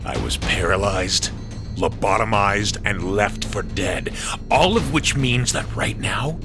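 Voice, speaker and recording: An actor playing a huge, vengeful robot soldier delivering a menacing, dramatic monologue in a sci-fi audio drama. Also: male voice, fairly low pitch, slow pace, music in the background. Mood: simmering rage.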